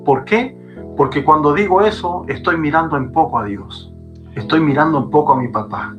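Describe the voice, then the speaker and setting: A man's voice: a little high, normal speed, dull audio, music in the background. A man, a pastor, speaking fervently as a guest on a podcast and repeating phrases for emphasis. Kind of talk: preaching guest in a podcast conversation. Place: Chile.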